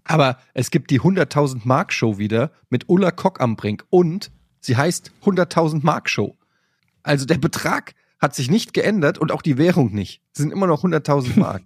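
Podcast co-host, man, husky, dry close sound, wry storyteller. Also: on the high side, lively intonation, normal speed, no echo. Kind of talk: casual chat.

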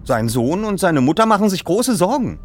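A man voicing an earnest, eager teenage boy detective in an audiobook, in an animated, excitable manner. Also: sits high, moderate pace, slight background noise, pitched above the performer's own voice. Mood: pleading.

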